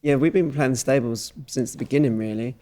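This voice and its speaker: A man's voice: mid-range voice, a little fast, warm tone. A man, a musician, chatting casually and reminiscing in an interview. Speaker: folk musician.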